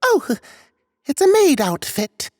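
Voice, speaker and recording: A woman's voice: sits high, very animated, takes it slowly, studio quality, full character voice. A reverberant, sweet cat boy butler. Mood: coy, eager. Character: sweet, eager young male cat-eared butler.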